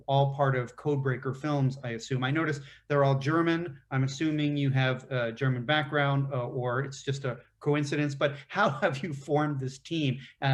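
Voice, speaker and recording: A man moderating a festival Q&A, asking long, detailed questions with a few fillers. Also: medium pitch. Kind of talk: festival Q&A interview.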